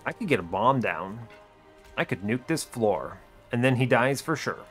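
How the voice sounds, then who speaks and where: A man's voice: medium pitch, lively intonation, normal speed. A man hosting a gaming video, giving casual play-by-play in short, understated, dry remarks. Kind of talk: casual gameplay commentary. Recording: music behind the voice.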